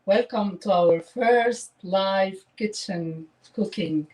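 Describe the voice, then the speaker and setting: A man's voice: high voice, slow pace, a little mellow. Middle-aged man opening a live home cooking stream; friendly, casual, heard from a distance. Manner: friendly, hesitant, a few uhs. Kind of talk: casual welcome speech. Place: home kitchen, indoors. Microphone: webcam on a video call. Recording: distant webcam mic in kitchen.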